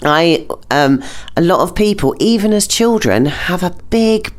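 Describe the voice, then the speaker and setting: British woman in relaxed, encouraging conversation. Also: on the low side, unhurried. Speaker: podcast guest, former art teacher. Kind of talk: casual interview chat.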